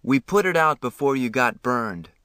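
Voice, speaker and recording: A man voicing a calm, kind adult stranger reassuring a hurt teenager in a story reading. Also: mid-range voice, moderate pace, clear, resonant tone, dry recording, studio quality.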